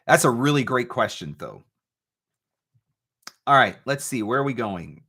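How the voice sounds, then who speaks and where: Male host and coach, mid-pitched and crisply bright, fielding audience questions with emphatic, deliberate delivery. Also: lively intonation. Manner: emphatic, deliberate, repeats key points. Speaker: host, voice-over marketing coach. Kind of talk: live Q&A advice talk, reading out viewer questions.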